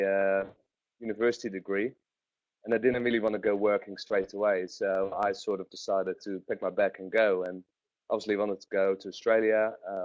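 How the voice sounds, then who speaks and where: A man's voice: lowish voice, no echo, dull audio. A man, a webinar guest recalling travel with lots of fillers and restarts. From Netherlands. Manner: rambling, many fillers and restarts. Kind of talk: webinar interview.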